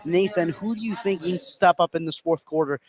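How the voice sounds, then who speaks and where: A high-voiced male sports commentator calls a basketball game as it happens. He is excitable and leans hard into the big moments. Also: a little fast, crowd noise. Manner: excitable, emphatic on big plays. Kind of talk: live basketball play-by-play commentary.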